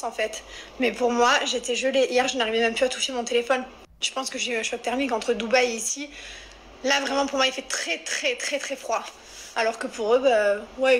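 A woman's voice: a little high, fairly bright tone, slight background noise. A woman, chatting casually and rambling straight to her followers on a phone held at arm's length. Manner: chatty, rambling, addresses viewers directly. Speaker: lifestyle influencer. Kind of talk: casual selfie chat to followers. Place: indoors, small wooden cabin room.

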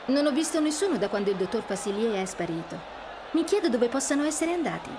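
High-voiced hopeful young heroine. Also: female voice, big pitch swings, quick pace, noisy background. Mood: thoughtful. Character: young woman restaurateur, hopeful, hardworking, kind. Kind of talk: animated game dub. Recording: crowd and street ambience behind.

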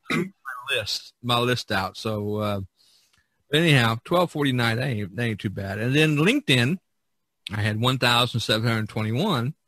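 Bright-voiced man hosting a casual podcast. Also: medium pitch, dry recording, rough recording. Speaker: podcast host. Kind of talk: casual chat, reading out figures.

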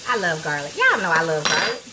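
A woman's voice: deep voice, huge pitch swings, fast talker. Chatty woman cooking live at home. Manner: chatty and playful, talks to viewers as y'all. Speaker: cooking show host. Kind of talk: casual livestream cooking chat. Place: home kitchen, indoors. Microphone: phone on a tripod, close to arm's length. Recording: noisy kitchen with sizzling frying.

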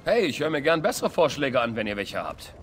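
An actor playing a young male soldier leading his squad in a German game dub, dry and wry, shouting in combat. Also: medium pitch, sing-song, fairly quick, slight background noise, natural voice. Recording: loud game music behind.

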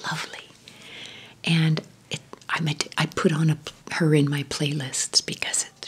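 A woman whispering gently through an ASMR question-and-answer video, talking fondly and slowly with soft fillers. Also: low-pitched, breathy edge. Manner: slow, gentle, affectionate rambling with fillers. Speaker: ASMR creator.

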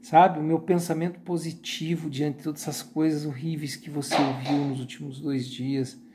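A man in his forties with a Brazilian accent, sitting on a sofa and talking warmly and supportively into his phone in a casual live stream. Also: fairly high pitch, level tone, moderate pace, background noise. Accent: Brazilian Portuguese. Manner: warm supportive, many filler tags. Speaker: YouTube channel host and moderator. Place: living room sofa, indoors. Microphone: phone camera held close.

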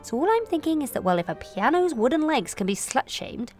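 A woman in her late twenties performing a confessional comic monologue in a candid, wry, self-questioning way, moving between confiding and animated. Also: high-pitched, a little fast, faint music behind. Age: late 20s. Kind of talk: confessional comic audio monologue, present-tense narration.

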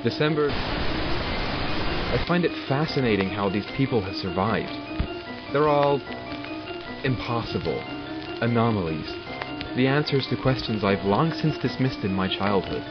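A man playing a curious, wry doctor in an audio drama, dictating log entries in a measured, formal, dryly sardonic way. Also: medium pitch, animated, close to an everyday voice. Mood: awed, reflective. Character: male doctor logging studies of supernatural captives, curious and wry. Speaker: voice actor. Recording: dull lo-fi recording with music behind. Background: music.

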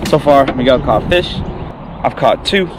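A man's voice: mid-range voice, expressive, a little slow, over music. A young man vlogging from a kayak on an open lake, talking casually and hollering when fish bite, recorded on an action camera. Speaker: fishing vlogger. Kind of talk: casual on-the-water commentary.

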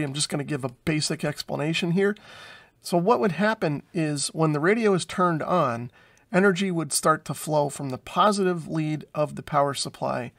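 A man, giving a methodical, plain-spoken tutorial as a hobbyist presenter. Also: on the high side. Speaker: radio repair hobbyist and presenter. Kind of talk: explanatory tutorial talk to camera.

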